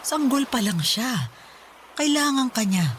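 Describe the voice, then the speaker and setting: A woman's voice: fairly high pitch, sing-song, measured pace, slight background noise. Scolding old Filipino grandmother. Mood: reproachful, pleading. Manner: reproachful, emphatic, pleading. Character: scolding, caring old grandmother guarding her baby grandchild. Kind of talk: Filipino radio drama acting.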